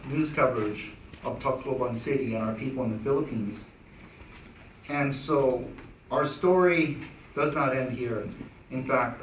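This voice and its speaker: A man hosting a press conference, delivering formal prepared remarks in a measured way. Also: medium pitch, slight background noise. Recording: echoey room, distant mic.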